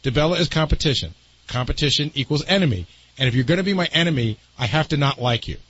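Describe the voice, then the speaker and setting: A man's voice: on the high side, animated, fast, a bit bright, muffled recording, a little hiss or hum. A man who is a radio show regular, explaining things in casual conversation.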